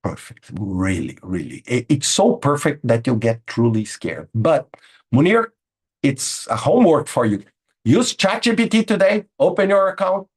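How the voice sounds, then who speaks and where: Husky-voiced man, emphatic webinar host. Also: mid-range voice, sing-song, moderate pace. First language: Portuguese. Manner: emphatic, repeats words, says "okay?" a lot. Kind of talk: informal webinar talk answering chat questions. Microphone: video call.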